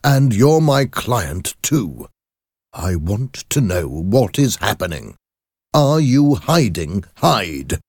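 A man voicing a grave, concerned lawyer in a storybook, with a measured, probing manner. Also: fairly low pitch, big pitch swings, no room sound, clean studio sound, voice pushed up, full character voice. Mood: stern, demanding. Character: grave, concerned male lawyer, questioning and suspicious. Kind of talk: audiobook character acting.

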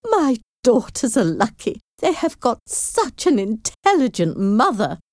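Woman playing a fussy high-pitched scheming mother. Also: big pitch swings, a little slow, darkish tone, no echo, studio quality. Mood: smug. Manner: anxious, emphatic. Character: fussy, scheming middle-aged mother, self-satisfied.

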